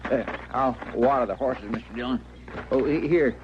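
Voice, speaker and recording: Through a dull, very noisy old radio recording comes a simple, good-hearted western sidekick played by a man. His mid-pitched voice is folksy, halting and eager to oblige. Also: lightly acted. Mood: obliging. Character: eager, deferential male marshal's helper, simple and good-hearted.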